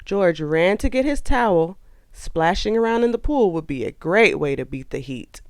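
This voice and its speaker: A woman narrating a children's storybook aloud in an expressive, animated way. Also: lowish voice, normal speed, dry recording.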